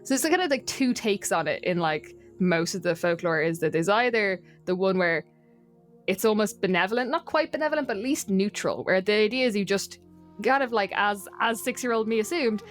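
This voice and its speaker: A woman's voice: mid-range voice, animated, a little fast. Chatty Irish woman over background music. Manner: chatty, self-deprecating, wry. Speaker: podcast guest. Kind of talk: casual storytelling chat.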